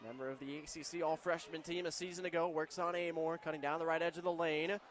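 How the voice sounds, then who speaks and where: A male radio announcer with a somewhat high voice narrates live basketball play by play. Faint arena noise runs behind him. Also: little pitch movement, fast.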